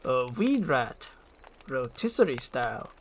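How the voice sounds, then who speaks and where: A reader acts out a big male ogre from a fairy-tale script. He talks slowly and gruffly, with a kind streak under the grumbling. There is light noise in the recording. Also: mid-range voice, very animated. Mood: proud, relaxed.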